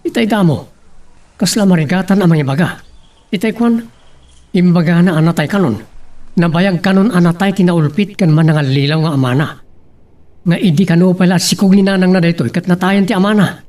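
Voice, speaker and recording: A man's voice: high voice, a little fast, long pauses, darkish tone, far from the mic, natural voice. An earnest adult son played by a man with a Filipino accent, heard slightly away from the microphone. He comes across as hesitant and wounded, in the style of a homemade radio love drama. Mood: troubled. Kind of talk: Filipino audio drama acting.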